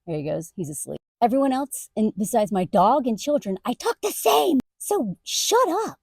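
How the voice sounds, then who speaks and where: A woman content creator ranting straight to camera, emphatic, her voice jumping up high on indignant questions. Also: big pitch swings, moderate pace, creaky, a little mellow, breathy edge, no echo, studio quality. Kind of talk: direct-to-camera rant.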